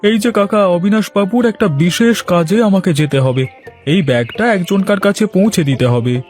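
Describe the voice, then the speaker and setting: A man voicing a timid, poor village errand man in a cartoon, creaky and plaintive as he pleads and laments. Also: high-pitched, moderate pace, a little mellow, faint music behind, lightly acted. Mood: complaining. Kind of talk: cartoon voice acting.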